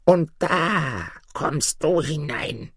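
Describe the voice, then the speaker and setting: A sinister old forest mother from a fairy tale, put on by a male narrator. She speaks slowly and ominously in a lifted, lilting voice, cold and vengeful, recorded dry. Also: fairly high pitch, lively intonation, breathy edge, no echo, voice pushed up, heavily acted. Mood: cold, threatening. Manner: slow, ominous.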